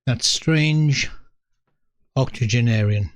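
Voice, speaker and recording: An elderly Englishman in his eighties reminisces at a very unhurried pace. He speaks in short, measured phrases with pauses between them, in a mid-pitched voice. The sound is dry and close, free of room echo. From North East England. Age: 80s. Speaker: storyteller, host of own video series. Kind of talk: reflective autobiographical storytelling to camera.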